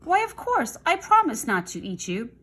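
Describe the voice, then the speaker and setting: A woman doing an eager, high-voiced storybook mantis fellow. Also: big pitch swings, moderate pace, a bit bright, slightly rough, full character voice. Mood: earnest. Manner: eager, exclaiming. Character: eager male praying mantis, earnest music lover. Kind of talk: children's storybook character voice.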